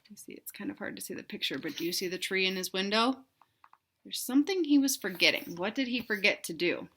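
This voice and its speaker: A woman's voice: mid-range voice, expressive, a little fast, sharp tone, slight background noise. A woman reading a picture book aloud to young children, expressively, with simple explanations and questions to the listeners. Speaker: read-aloud storyteller for children.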